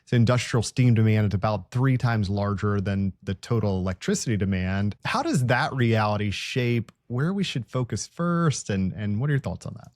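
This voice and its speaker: A man's voice: on the low side, animated, moderate pace, hardly any pauses, darkish tone, no room sound, clean studio sound. A man hosting a podcast, asking questions and affirming often. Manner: affirming, asks long questions. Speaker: podcast host. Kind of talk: podcast interview.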